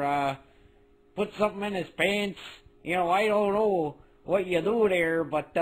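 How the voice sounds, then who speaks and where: A man's voice: high-pitched, fairly bright tone, heavily acted, low-quality recording. A man voicing a folksy, bumbling sports coach who rambles awkwardly in a cartoon phone message.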